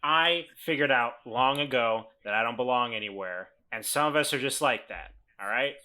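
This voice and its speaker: Man as a bright-voiced, world-weary badger misfit. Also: medium pitch, moderate pace, lightly acted. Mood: blunt, resigned. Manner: blunt, earnest, urging.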